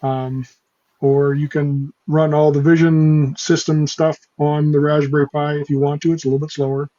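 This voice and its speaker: A man in his fifties explaining technical points in a relaxed, measured way. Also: on the high side, dull audio. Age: 50s.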